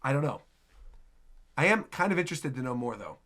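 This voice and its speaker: A man's voice: medium pitch, very animated, brisk, fairly bright tone. A man hosting a reaction stream, giving casual live commentary and rambling as he thinks aloud.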